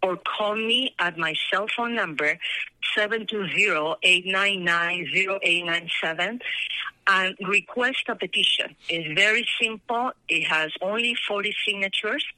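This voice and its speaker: Bright, sharp-voiced woman with Peruvian accent. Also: mid-range voice, measured pace, no room sound, low-quality recording. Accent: Peruvian Spanish. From Peru. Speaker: radio guest, initiative sponsor. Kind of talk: earnest radio interview talk.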